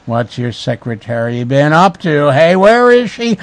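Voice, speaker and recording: A man's voice: a little high, lively intonation, a little slow, vocal fry, a bit bright, slightly rough, no echo, full character voice. A man voicing a menacing, tough-talking criminal boss in a pulp detective parody, full of hard-boiled slang. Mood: bullying. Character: blustering male gangster villain, tough-talking master criminal. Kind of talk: parody pulp detective story read aloud.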